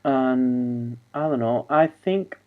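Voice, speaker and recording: A man whose first language is Spanish, talking in a halting, wandering monologue over a video call. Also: medium pitch, phone-quality sound. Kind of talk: rambling monologue.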